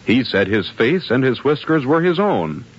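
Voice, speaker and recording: A formal male announcer narrates in 1950s radio style. His scripted delivery is measured but rises and falls expressively. The broadcast sound is old and dulled. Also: mid-range voice, sing-song. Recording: muffled vintage radio broadcast.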